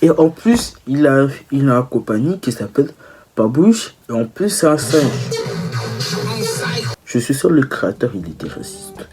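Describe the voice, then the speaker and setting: A young man giving casual, teasing video commentary, halting and correcting himself as he goes. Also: mid-range voice, big pitch swings, music in the background. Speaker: video creator.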